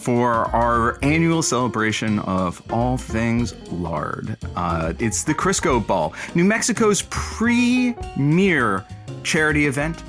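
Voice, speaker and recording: Sardonic, animated radio producer. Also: male voice, medium pitch, takes it slowly, faint music behind. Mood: halting promotion. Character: crude, bullheaded, sardonic male radio producer. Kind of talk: scripted audio comedy.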